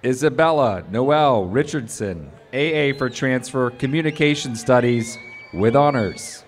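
A man reading out graduates' names and degrees at an outdoor commencement, in a slow, measured way over a podium microphone. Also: medium pitch. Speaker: graduation name reader. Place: outdoor stadium commencement stage.

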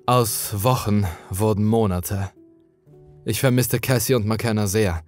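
A man's voice: on the low side, unhurried, faint music behind. A man reading a horror story as dramatic scripted narration.

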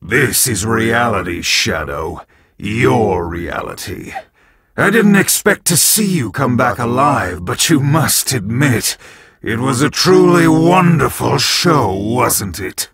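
Evil husky jackal villain from a video game, haughty n menacing, talks slow and theatrical, big swings in pitch. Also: male voice, very animated, fairly bright tone, exaggerated voice. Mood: cold, taunting. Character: arrogant, sadistic masked jackal villain drunk on power.

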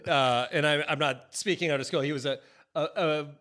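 A man in his forties with an American accent and a slightly high voice talks casually on a podcast. He tells stories in an animated way, playing out the dialogue as he goes. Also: fairly quick. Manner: animated storyteller acting out quoted exchanges. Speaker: podcast host and film director. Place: indoor office, on a video call. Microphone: close boom-arm podcast mic.

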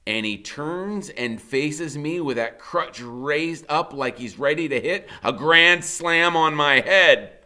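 Animated, bright-voiced American man reading aloud. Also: on the high side. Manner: dramatic, conversational, drawn-out emphasis on key words. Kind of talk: expressive first-person storybook reading. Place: indoor classroom in front of a whiteboard.

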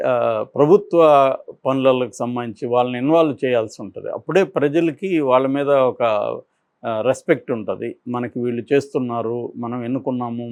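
A man giving unscripted political commentary as a news analyst, pausing often between phrases. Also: mid-range voice, darkish tone, no room sound.

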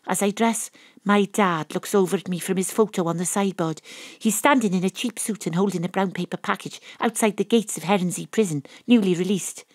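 A woman's voice: mid-range voice, brisk, darkish tone, no echo, clean studio sound, natural voice. A woman playing a wry, poetic private detective with a Welsh accent, narrating an audio drama in a theatrical, literary way. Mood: wry, reflective. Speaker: narrator and lead character. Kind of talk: first-person audio drama narration and acting.

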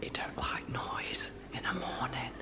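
A middle-aged woman whispering conspiratorially in a casual family vlog at home. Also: unhurried. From England. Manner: hushed whispering, giggly. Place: bright modern house indoors.

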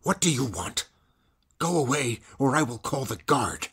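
A man's voice: medium pitch, very animated, unhurried, a bit bright, breathy edge, pitched above the performer's own voice, full character voice. A man voicing a weary old male prisoner who speaks in a guarded, bitter way. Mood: angry. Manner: guarded, bitter, rises when stirred.

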